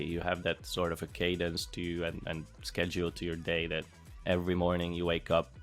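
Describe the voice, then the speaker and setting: A man from Hungary in his thirties answering interview questions in a casual, thoughtful way, with frequent fillers and restarts. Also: sits low. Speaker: interviewee, former bootcamp student.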